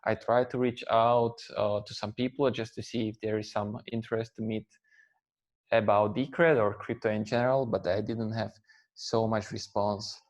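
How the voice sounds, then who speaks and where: A man in his thirties from Slovakia, an English speaker, talking as an interview guest in a halting way with many fillers and restarts. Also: lowish voice, fairly even intonation. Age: 30s. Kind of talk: conversational interview.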